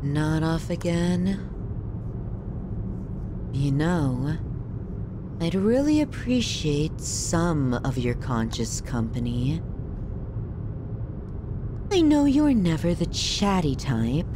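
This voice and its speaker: Slow, possessive lovesick yandere played by a woman. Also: sits low, big pitch swings, noisy background, exaggerated voice. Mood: bored, wry. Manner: intimate, slow, doting on a silent lover. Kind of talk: ASMR roleplay audio drama.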